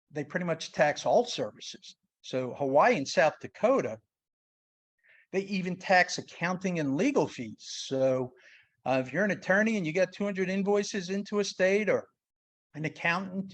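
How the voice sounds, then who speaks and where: A man with a New York accent giving an informal explanatory webinar talk in a conversational, plain-spoken way. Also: high voice. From New York, United States. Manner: conversational, plain-spoken, uses everyday examples. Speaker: sales tax consultant presenting.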